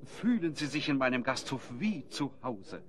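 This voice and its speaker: Deferential innkeeper, audio drama: medium pitch, polite, formal host manner. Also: male voice, very animated, normal speed, fairly bright tone. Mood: apologetic, welcoming. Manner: formal, courteous. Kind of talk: German children's audio drama acting.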